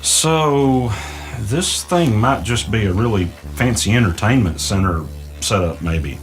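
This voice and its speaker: A man's voice: lowish voice, animated, measured pace, poor audio. An actor playing a cautious, skeptical small-town man who speaks in a plain, hesitant, questioning way in naturalistic audio drama dialogue. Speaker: character in a mystery audio drama.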